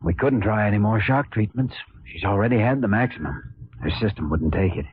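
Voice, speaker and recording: A grave, earnest young male doctor played by a radio actor, very low in pitch and tightly controlled. His delivery is terse and serious in old-time radio style, on a noisy, tinny old recording. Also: fairly quick, lightly acted. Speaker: actor in a medical radio drama.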